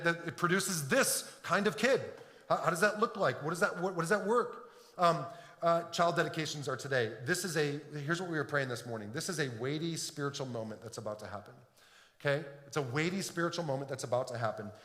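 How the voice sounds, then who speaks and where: A man's voice: on the high side, sharp tone. A middle-aged man from Detroit preaching a sermon in a church, earnest, often repeating words as he builds a point. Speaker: pastor. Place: church sanctuary. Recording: echoey hall with long reverb.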